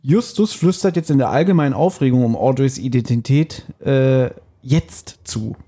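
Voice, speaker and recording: A man's voice: mid-range voice, moderate pace, warm tone, husky. A man, co-hosting a podcast and retelling a story in casual conversation. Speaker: podcast co-host. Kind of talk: casual podcast chat retelling an audio drama.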